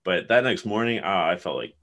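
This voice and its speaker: A man's voice: sits low, big pitch swings, a little fast, fairly bright tone. A man in his thirties from Ohio, co-hosting a casual podcast chat in a rambling, laid-back way and laughing often. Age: 30s. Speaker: podcast co-host.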